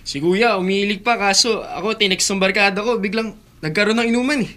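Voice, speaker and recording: A sixteen-year-old Filipino boy in a radio drama. He is rebellious and cheeky, with a high, sharp-edged voice. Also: fairly even intonation, fairly quick. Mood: casual, evasive.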